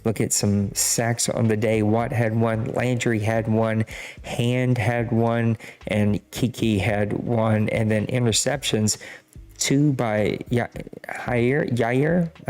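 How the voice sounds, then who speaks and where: A man's voice: on the low side, a little mellow. A man with a Cajun accent hosting a podcast, casually recapping scores in a rambling, self-deprecating way. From Louisiana. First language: Cajun French. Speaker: podcast host.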